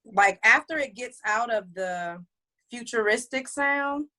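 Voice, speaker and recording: A woman's voice: a little high, lively intonation, slow pace, sharp tone. Chatty woman musician being interviewed. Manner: loose and chatty, many fillers and restarts. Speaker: recording artist and label head. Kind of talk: casual interview chat.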